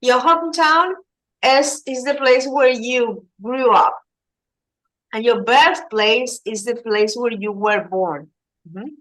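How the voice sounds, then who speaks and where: A woman's voice: a little high, slow, fairly bright tone, rough recording. A woman teaching English, patiently prompting and correcting her student. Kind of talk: online English lesson.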